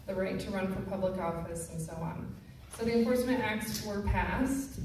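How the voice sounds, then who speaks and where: A woman co-presenting at a public talk, explaining prepared material in a matter-of-fact way. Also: medium pitch. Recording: distant, very echoey room, faint noise.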